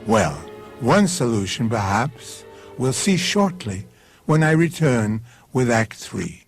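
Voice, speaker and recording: A man narrates a radio mystery as its host, theatrical and ominous. His mid-pitched voice rises and falls dramatically over clear music, with a deliberate scripted delivery. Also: very animated.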